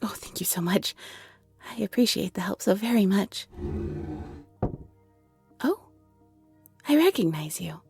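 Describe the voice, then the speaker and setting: A woman's voice: mid-range voice, sing-song, measured pace, creaky, long pauses, a little mellow, breathy edge, no echo, soft background music, exaggerated voice. A woman playing a shy, polite young half-owl shopkeeper, hesitant, apologetic and nervously chatty, in a roleplay audio drama. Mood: flustered, grateful.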